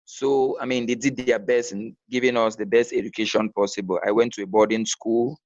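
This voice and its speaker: A man's voice: fairly low pitch, steady tone, a little fast, a little mellow, dry recording, dull audio. A man with a Cameroonian accent, a podcast guest telling his personal story conversationally.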